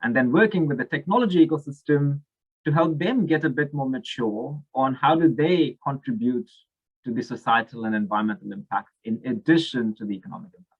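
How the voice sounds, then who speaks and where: A man's voice: medium pitch, brisk, breathy edge, dull audio. A man with a South African accent, speaking thoughtfully at a conference over a video call, with many fillers and restarts.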